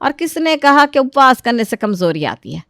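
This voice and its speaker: A high, smooth voice for a domineering, pious older matriarch , acted out by a woman. She issues orders and scolds insistently, in the manner of a storybook mother-in-law. The recording is close and dry. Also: very animated, normal speed, a bit bright, no echo, exaggerated voice. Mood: scolding. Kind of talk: acted dialogue in a moral story.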